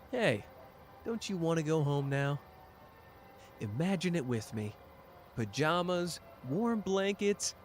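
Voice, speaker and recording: A man's voice: a little high, a little hiss or hum. A man voicing a playful, theatrical young man who teases and plays up his suffering, in an ASMR roleplay. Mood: teasing. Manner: soft, teasing and theatrical.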